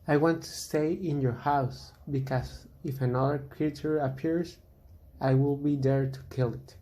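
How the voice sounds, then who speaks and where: A man acting a steady, protective monster hunter in an amateur radio drama, consoling and matter-of-fact. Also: medium pitch, unhurried, lightly acted.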